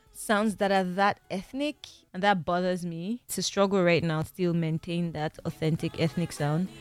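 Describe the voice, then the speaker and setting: A young woman with a Nigerian accent talks about her own work in a fairly low voice. She is candid and reflective, laughing easily. Soft music plays faintly behind her. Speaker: interviewed singer. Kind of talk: interview talk.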